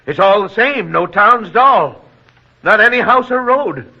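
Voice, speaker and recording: An earnest small-town leader with a high male voice, reasoning things out in an orderly, deliberate way. It is old radio drama, dull and muffled in sound. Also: lively intonation, exaggerated voice. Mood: earnest, resolute. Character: earnest, steady small-town leader chairing an emergency meeting. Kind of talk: 1940s radio drama acting.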